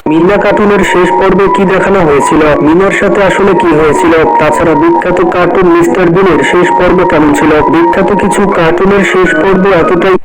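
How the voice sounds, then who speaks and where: Male video narrator; quick scripted delivery over loud music. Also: high-pitched, steady tone, low-quality recording.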